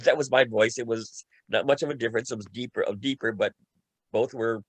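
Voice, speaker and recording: A man's voice: mid-range voice, a little fast, dry recording. Light tenor man, dry close sound. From United States. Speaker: voice actor in the radio play. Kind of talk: casual panel discussion.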